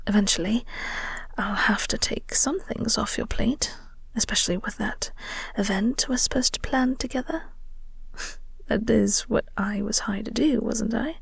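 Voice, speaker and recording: A flirty vampire woman, acted by a woman for an intimate audio roleplay, mostly whispering in a high, breathy voice. She is coy and teasing, takes her time, and lets a heavy creak into her words. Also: measured pace, no room sound, full character voice. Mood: teasing, attentive. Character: flirty, teasing vampire woman, office co-worker, calmly soothing.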